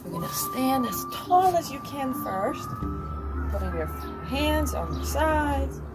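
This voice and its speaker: A woman's voice: high-pitched, very animated, takes it slowly. A young adult woman leading a movement lesson for children outdoors, giving simple, encouraging step-by-step instructions from a little way off the camera. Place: outdoors on a covered wooden deck. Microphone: camera a few steps away. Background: music.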